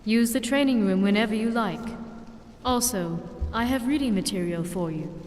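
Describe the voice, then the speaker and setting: A woman playing a calm, solemn female magic master in a video game, measured and instructive. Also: mid-range voice, lightly acted. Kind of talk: video game character dialogue. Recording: long reverb, faint background noise.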